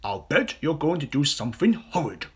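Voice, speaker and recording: Gigantic moralising hippopotamus, storybook male reader: raised pitch, loud indignant exclamations, very animated. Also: moderate pace, slightly rough, exaggerated voice. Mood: curious, wary.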